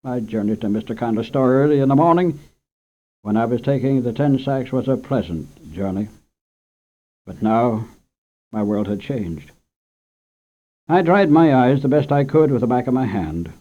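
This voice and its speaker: A man reading a short story aloud in an expressive, unhurried way as the storyteller of a children's radio program. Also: medium pitch. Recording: muffled old radio recording.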